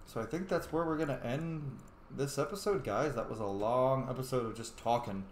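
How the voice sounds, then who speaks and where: A man's voice: medium pitch, normal speed. A man hosting a roleplay let's play, chatting casually and improvising replies to game characters. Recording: roomy echo, faint background noise.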